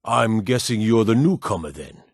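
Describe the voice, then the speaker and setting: Old blacksmith, gruff and proud, low husky voice, measured plain speech, fantasy game, dry close recording. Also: male voice, expressive, no room sound, exaggerated voice. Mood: earnest, steady. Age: older. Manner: plain-spoken, measured, weighty. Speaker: video game voice actor. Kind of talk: fantasy game character dialogue.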